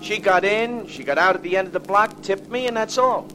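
An actor playing a chatty, baffled working-class cab driver in a late 1940s radio drama, slangy and eager, on a noisy old recording. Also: male voice, high-pitched, a little fast, music in the background, natural voice.

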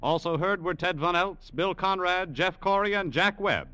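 A man's voice: high-pitched, moderate pace, bright, forceful tone, dry recording, highs cut off. A man with an American accent announcing formally and emphatically in 1940s network radio style.

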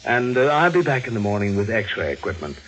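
An actor playing a grave family doctor in a 1940s radio mystery, with a measured, professional manner. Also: male voice, lowish voice, sing-song, a bit bright, poor audio. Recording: vintage radio recording with music.

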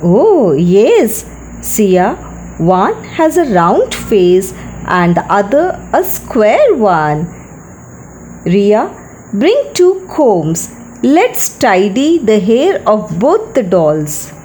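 A woman voicing a sweet, playful younger sister in a children's story, a young girl doting on her doll, with a drawn-out sing-song delivery. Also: medium pitch, big pitch swings, warm tone, a little hiss or hum. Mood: eager.

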